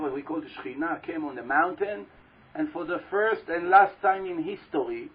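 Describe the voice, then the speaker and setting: A man with a loud, slightly husky voice, pitched a little high, gives a religious talk to a live audience. He tells a long story and keeps putting questions to his listeners. The recording is dull and lo-fi, with the voice taken through a microphone at a live event. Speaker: religious teacher speaking to an audience. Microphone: handheld or stand microphone.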